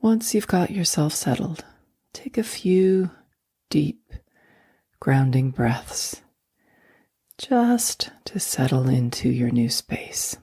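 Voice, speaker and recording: A woman guiding a sleep meditation in a soft, breathy near-whisper, speaking slowly and gently with long, soothing pauses. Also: low-pitched, lively intonation, dry recording. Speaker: meditation guide.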